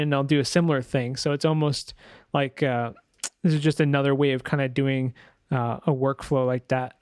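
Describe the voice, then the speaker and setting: A man's voice: medium pitch, darkish tone, smooth, no room sound, studio quality. A man giving a casual screen-share tutorial as a sound designer, thinking aloud informally and correcting himself as he goes.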